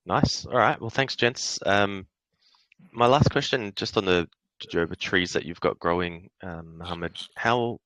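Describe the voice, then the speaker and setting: A man's voice: sits low, a little slow, a bit bright, no room sound, poor audio. A man hosting an online AMA, asking questions and encouraging his guests. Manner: encouraging, affirming. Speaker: host.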